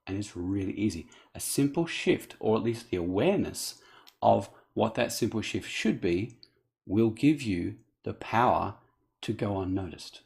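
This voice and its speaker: A man speaks in a low, gravelly voice. He talks earnestly and off the cuff as a coach, breaking off and restarting his phrases. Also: a little mellow. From Australia. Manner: earnest, unscripted, restarts often. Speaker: accent coach. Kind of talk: direct-to-camera appeal.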